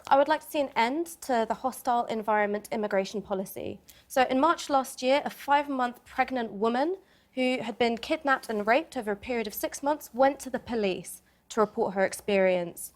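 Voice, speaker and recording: A woman debating on a studio panel, arguing her points earnestly and deliberately. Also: a little high. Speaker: panel guest.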